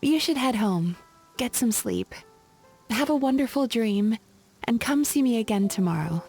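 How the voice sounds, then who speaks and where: A woman playing a gentle, composed young spirit psychologist in a video game, speaking in a measured, reassuring, reflective way. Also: a little high, expressive, breathy edge, faint music behind, exaggerated voice. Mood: soft, reassuring. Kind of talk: video game character dialogue.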